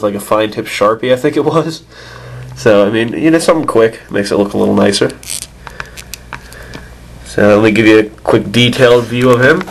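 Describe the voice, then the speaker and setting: A man's voice: on the low side, slight background noise, rough recording. Stuffy-nosed man rambling through a toy review. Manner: rambling, many "uh" fillers and restarts. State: stuffed up from a cold.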